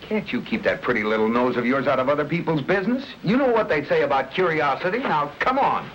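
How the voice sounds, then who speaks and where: An actor playing a steady, protective, mildly exasperated husband and amateur sleuth in an old-time radio mystery. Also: male voice, a little high, fast talker, dull audio, a little hiss or hum. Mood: urgent. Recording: old radio broadcast with music.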